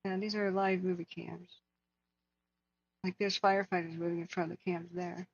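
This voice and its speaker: Woman livestream host, husky and hoarse, earnest chat, slightly muffled lo-fi audio. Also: fairly low pitch, level tone, normal speed. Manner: earnest, talks to chat viewers by name. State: hoarse, losing her voice. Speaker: wildfire tracking livestream host.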